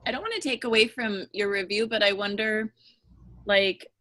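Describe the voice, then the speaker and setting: A woman's voice: mid-range voice, moderate pace, pushed and bright, no echo. A woman chatting casually as a panelist on a group podcast.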